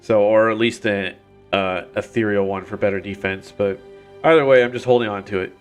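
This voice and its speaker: A man's voice: on the low side, steady tone, a little fast, faint music behind. A man streaming a video game at home while recovering from illness, his voice congested and nasal, rambling with many fillers and restarts. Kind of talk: casual gameplay commentary. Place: home gaming setup. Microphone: desk mic.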